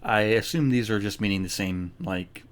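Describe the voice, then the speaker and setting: A man's voice: fairly low pitch, moderate pace. A man giving casual gameplay commentary, reading some dialogue aloud and adding offhand, wry asides. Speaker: streamer, game player. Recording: faint background noise.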